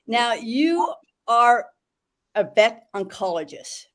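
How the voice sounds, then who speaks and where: An older woman hosting an interview over a video call, chatty and effusive, filling pauses with fillers and correcting herself. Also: on the high side, lively intonation. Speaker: interview host. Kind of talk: casual interview chat.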